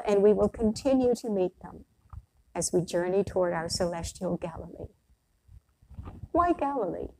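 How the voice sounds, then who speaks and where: An older woman, a priest, delivers a prepared sermon in measured, deliberate phrases with pauses. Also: mid-range voice, warm tone, a little hiss or hum, poor audio. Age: older, around 60s. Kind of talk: sermon, read from a prepared text. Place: church sanctuary, at the pulpit.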